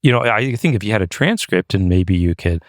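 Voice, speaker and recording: A man's voice: lowish voice, lively intonation, brisk, no echo, studio quality. A man co-hosting a podcast, chatty and loose, with frequent fillers. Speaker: podcast co-host. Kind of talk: casual podcast chat.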